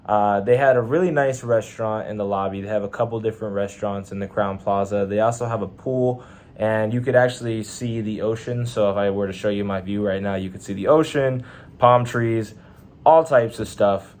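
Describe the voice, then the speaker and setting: A man's voice: lowish voice, a little fast, a little mellow. A young man with a Texan accent, chatting casually to his camera from a hotel room, laid-back and rambling with slang and fillers. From Texas, USA. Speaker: vlogger, Navy sailor. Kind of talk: casual vlog monologue.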